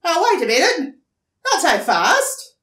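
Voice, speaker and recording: Lady doing a bristly bossy echidna for a kids story, high n indignant, echoey room. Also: huge pitch swings, unhurried, fairly bright tone, exaggerated voice. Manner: indignant, emphatic. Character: bristling, bossy male echidna giving advice.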